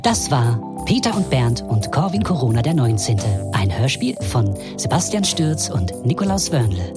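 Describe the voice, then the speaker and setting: A woman's voice: a bass voice, big pitch swings, moderate pace. A woman reading scripted closing credits as an announcer. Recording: music under voice.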